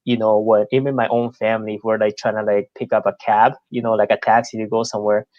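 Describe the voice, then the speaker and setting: Give me a laid-back man from Peru telling stories, low voice, slightly dull recording. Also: little pitch movement, quick pace, no echo. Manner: chatty, laid-back storytelling with frequent fillers. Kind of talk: casual podcast conversation.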